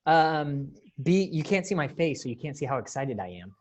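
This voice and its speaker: An encouraging event organizer with a medium-pitched voice, talking casually on a video call. The delivery is upbeat and informal, like someone getting a group ready to perform. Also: lively intonation, moderate pace, slight background noise. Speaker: organizer of a readers theater event.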